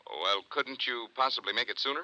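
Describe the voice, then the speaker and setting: An actor playing a mild prison chaplain in a 1950s radio drama, polite, earnest and gently persistent. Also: male voice, mid-range voice, fairly quick, low-quality recording. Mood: gently pleading. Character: mild, courteous, tall male prison chaplain. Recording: vintage 1950s radio recording.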